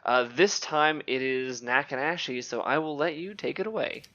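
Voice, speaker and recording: A man's voice: on the high side, moderate pace, sharp tone, highs cut off. A man hosting a podcast in a casual, conversational way. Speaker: podcast host.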